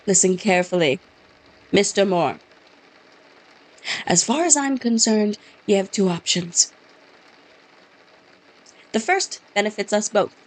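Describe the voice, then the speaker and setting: A woman's voice: medium pitch, animated, takes it slowly, long pauses, rough recording. A woman reading game dialogue as a curt, dismissive male police officer, using a put-on Scottish accent. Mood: scornful. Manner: curt, scornful, brushes off the witness.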